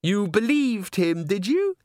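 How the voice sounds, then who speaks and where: A man's voice: sits high, very animated, slow pace, a little mellow, no room sound, clean studio sound, voice pushed up, exaggerated voice. A man voicing an airy, dismissive young woman in a storybook, with a breezy, condescending manner.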